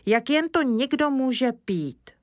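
A woman voicing a lively, cheeky little village boy in an eager, childlike way. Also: high voice, no echo, clean studio sound, voice pushed up.

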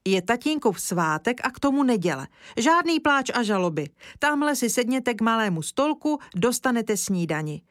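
A woman's voice: on the high side, big pitch swings, few pauses, fairly bright tone, dry recording, studio quality, pitched above the performer's own voice. A woman voicing a caring mother who gives kind but firm instructions. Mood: kindly firm. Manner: kindly instructing.

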